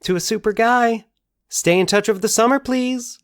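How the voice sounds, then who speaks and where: Hopeful young woman, played by a male narrator: hushed, breathy, pitched well up, for a dry audio drama. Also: normal speed, no echo. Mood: affectionate. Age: young adult. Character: Valerie, soft-spoken, hopeful young woman, old classmate.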